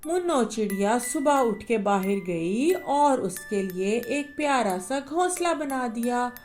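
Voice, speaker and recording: A woman narrating a bedtime story for children in a slow, gentle way. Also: a little high, animated, faint music behind.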